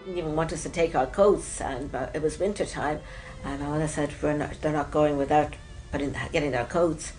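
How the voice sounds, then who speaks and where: A woman's voice: low-pitched, steady tone, fairly quick, a little mellow, soft background music, poor audio. A woman in her seventies from Hungary, giving hesitant documentary testimony as a Holocaust survivor, often searching for words.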